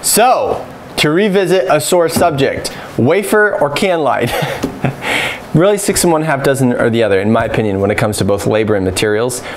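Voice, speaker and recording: Casting: American man, 30s, mid-pitched, practical electrician explaining a job informally, basement ambience noise behind him. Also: lively intonation, moderate pace. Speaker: electrician presenting a how-to tutorial. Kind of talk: informal hands-on instruction. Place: unfinished basement with exposed joists. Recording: clearly noisy room ambience.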